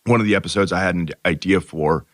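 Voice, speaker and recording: A man's voice: low voice, quick pace, fairly bright tone, dry recording, clean studio sound. A young man, an MBA student co-hosting a podcast, talking in a loose, rambling way full of "you know" and "like". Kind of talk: casual podcast chat.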